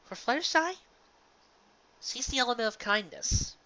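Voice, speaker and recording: Bright-voiced amateur fiction reader, lo-fi recording. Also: mid-range voice, lively intonation, normal speed. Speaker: fan fiction reader and reviewer. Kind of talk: dramatic story reading and informal commentary. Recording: dull lo-fi sound.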